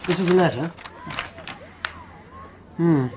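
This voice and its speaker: A courteous but guarded school headmaster, formal and precise, with a somewhat high voice. A faint hiss of noise lies under this radio drama scene. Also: male voice, takes it slowly, a crowd in the background. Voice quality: polite, formal and a touch evasive.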